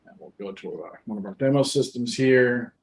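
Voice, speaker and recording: A man's voice: medium pitch, expressive, normal speed. A man hosting an informal webinar demonstration, explaining things step by step in a conversational way. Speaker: webinar host and software demonstrator. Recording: roomy, echoing microphone sound.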